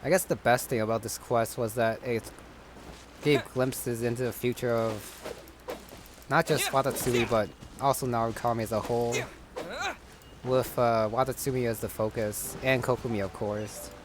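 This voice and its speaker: A man giving casual, musing gameplay commentary in a low-key way. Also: fairly even intonation, normal speed. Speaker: gamer commentator. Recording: clear background noise, game ambience.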